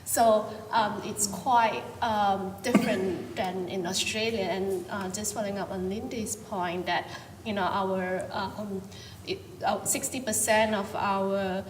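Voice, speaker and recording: A woman from Malaysia speaking on a panel, hesitant, with many pauses and restarts. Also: medium pitch, fairly even intonation. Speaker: panel participant. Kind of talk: panel discussion. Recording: echoey hall, distant mic, noisy.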